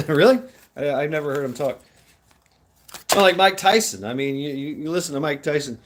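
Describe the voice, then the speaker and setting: A man's voice: fairly high pitch, expressive, moderate pace, a little hiss or hum. Casting: American male, middle-aged, loose livestream host, meandering, salty-tongued storyteller. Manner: sweary, laughing, meandering storytelling. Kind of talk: casual rambling livestream chat.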